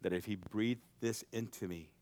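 A young adult man preaching a sermon, swinging from loud, shouted exhortation to quiet, intimate passages. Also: lowish voice, steady tone, moderate pace.

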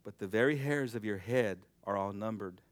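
A young adult man preaching a sermon, swinging from loud, shouted exhortation to quiet, intimate passages. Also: fairly low pitch, moderate pace, no room sound.

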